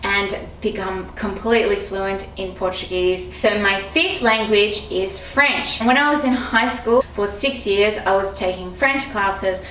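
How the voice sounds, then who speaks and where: A young woman from Australia, talking casually to camera as a vlogger and English teacher, in a friendly, steady way. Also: medium pitch, measured pace, few pauses, reverberant, distant mic, slight background noise, low-quality recording.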